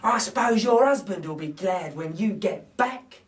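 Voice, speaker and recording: A man's voice: high voice, animated, measured pace. A man voicing a good-natured, plain-spoken working-class male burglar with colloquial speech in a children's storybook. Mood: matter-of-fact. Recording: distant microphone.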